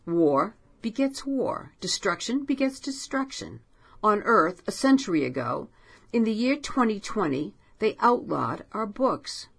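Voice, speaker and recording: A woman's voice: medium pitch, big pitch swings, a little slow, creaky, a bit bright, husky, pitched above the performer's own voice, full character voice. A woman voicing a grim, frightened and angry male writer in a storybook reading, pleading urgently. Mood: impassioned. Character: grim, brooding male writer, driven and bitter.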